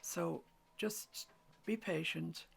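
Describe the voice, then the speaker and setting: A woman with a croaky voice, teaching a watercolour painting tutorial in soft, often half-whispered instructions. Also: fairly low pitch, extremely slow. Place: home room with a mantelpiece.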